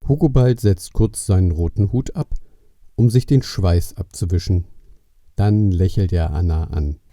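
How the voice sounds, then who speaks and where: A man's voice: low-pitched, a little slow, warm tone, no echo, clean studio sound. A man narrating a scripted Christmas story for a family audio play.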